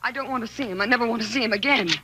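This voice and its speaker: An actress playing a frightened, distraught wife in a 1940s radio thriller, speaking in an earnest, halting, dramatic way. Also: female voice, fairly high pitch, a bit bright, natural voice. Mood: anxious. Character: frightened, distraught wife narrating her own ordeal. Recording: noisy vintage 1940s radio recording.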